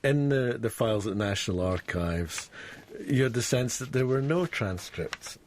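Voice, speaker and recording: A man's voice: medium pitch, lively intonation, normal speed, slight background noise. A man speaking as an academic researcher, explaining history in a documentary interview.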